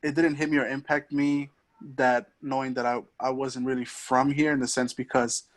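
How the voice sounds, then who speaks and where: Young man, from Mexico, podcast guest, monotone, halting, lots of ums. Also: medium pitch. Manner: hesitant, many ums and restarts. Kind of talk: casual conversation.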